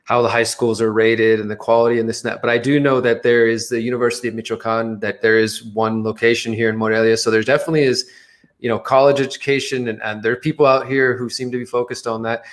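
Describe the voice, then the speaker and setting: Conversational man, mid-pitched. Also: little pitch movement, fast. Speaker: interview guest. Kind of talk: informal interview answers.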